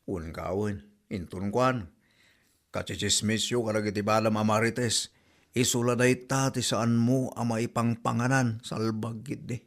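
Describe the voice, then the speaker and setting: A man's voice: on the low side, steady tone, unhurried. A man playing a nagging, exasperated village husband with an Ilocano accent in a comedy drama podcast. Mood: scolding.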